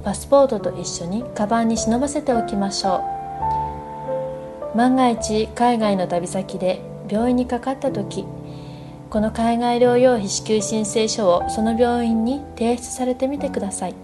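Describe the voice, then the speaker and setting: Higher-pitched woman reading scripted radio segment. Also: moderate pace, over music. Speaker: band guitarist presenting a radio segment. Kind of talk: scripted fortune-style radio narration.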